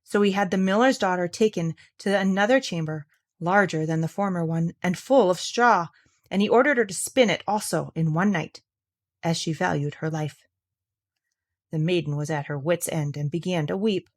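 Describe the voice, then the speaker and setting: A woman storyteller reading a fairy tale aloud in an expressive, theatrical and clearly enunciated way. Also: fairly low pitch, moderate pace.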